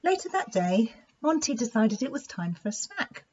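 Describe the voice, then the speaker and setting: A woman reading a children's story aloud in an expressive, deliberate storytelling manner. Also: on the high side, husky. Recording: dull lo-fi sound.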